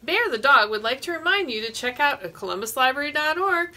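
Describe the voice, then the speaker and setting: A woman's voice: high voice, very animated, a little fast, sharp tone. A woman in her forties hosting a children's storytime, explaining a simple craft step by step from a small room. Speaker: librarian, storytime host.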